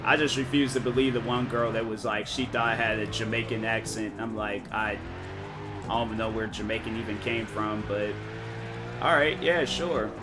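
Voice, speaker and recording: A man's voice: fairly low pitch, steady tone, normal speed. A young man chatting casually while streaming a racing game, rambling with many fillers and restarts. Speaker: gaming streamer. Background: game music and car engine sounds.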